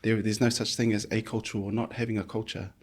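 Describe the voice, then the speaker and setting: A middle-aged man from New Zealand, a physiotherapist guest speaking thoughtfully in an interview, with many pauses and restarts. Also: on the low side, little pitch movement. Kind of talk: reflective conversational interview.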